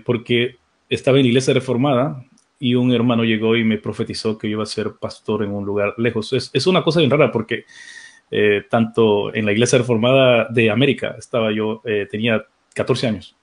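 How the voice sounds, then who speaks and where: A man's voice: mid-range voice, fairly even intonation, fairly quick. A middle-aged man from El Salvador, introducing himself on an online panel in a rambling way, with many restarts. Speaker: guest panelist, church teacher.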